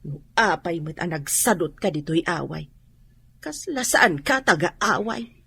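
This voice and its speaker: Husky, scolding country mother. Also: on the low side, expressive, a little slow, pushed and bright, exaggerated voice. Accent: Ilocano. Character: scolding, worried middle-aged rural mother of a grown daughter. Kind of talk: Ilocano radio drama acting.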